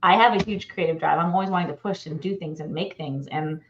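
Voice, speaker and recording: Low-voiced woman on a dull, noisy call. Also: quick pace. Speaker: co-host of a pop culture live show. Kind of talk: casual panel chat. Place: home room, indoors, video call. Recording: dull video-call sound, clear noise.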